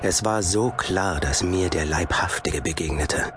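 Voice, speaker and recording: A man's voice: low voice, a little slow. An actor playing a nervous, fatalistic man who tells of his own misfortune in an audio drama, brooding and resigned, at times agitated. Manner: brooding, resigned narration breaking into agitated dialogue. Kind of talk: audio drama acting with first-person narration. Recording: loud noise under the voice.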